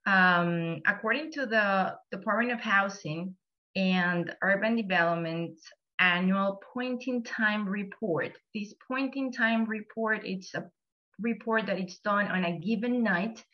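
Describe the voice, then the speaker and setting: A woman from Venezuela with a Venezuelan Spanish accent, giving a friendly online presentation to a church group and filling her pauses with "um". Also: medium pitch. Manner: friendly, many "um"s, explaining slide by slide. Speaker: guest speaker. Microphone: video call. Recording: muffled video call audio.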